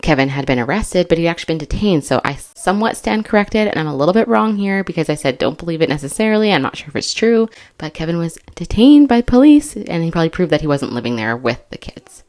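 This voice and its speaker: A woman's voice: lowish voice, sing-song, quick pace, hardly any pauses, a little mellow. A woman giving casual, opinionated video commentary in an informal, emphatic way.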